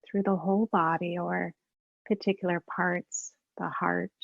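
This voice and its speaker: A woman leading a guided meditation as a teacher, unhurried and leaving long pauses. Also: fairly low pitch, flat delivery, vocal fry, no echo, narrow, tinny audio.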